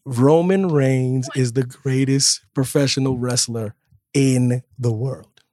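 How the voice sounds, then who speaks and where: A man hosting a casual wrestling podcast, playfully boastful, repeating his phrases for emphasis in animated panel banter. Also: medium pitch, slow pace, dry recording, studio quality.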